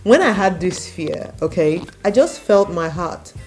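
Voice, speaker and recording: A woman's voice: on the low side, lively intonation, measured pace, faint music behind, low-quality recording. A young adult woman from Nigeria, a native Igbo speaker, earnestly reassuring viewers in a direct-to-camera advice vlog and repeating points for emphasis. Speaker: vlogger.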